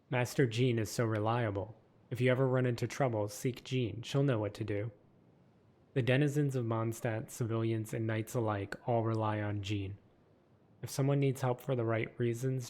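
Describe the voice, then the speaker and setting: A man narrating game lore from a script in a measured, steady reading. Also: fairly low pitch.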